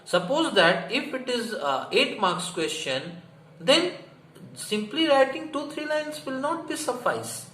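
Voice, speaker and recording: Man, Indian accent, high lively pitch, didactic exam coaching, rhetorical questions, repeated key phrases. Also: unhurried. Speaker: history teacher. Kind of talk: exam prep lesson, explaining to students. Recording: echoey room, faint background noise.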